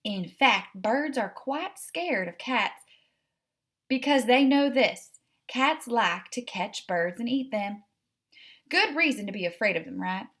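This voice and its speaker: Female storybook narrator for kids, slightly high voice, expressive, talks straight to the listener. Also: takes it slowly. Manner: expressive, addresses young listeners directly. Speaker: read-aloud host.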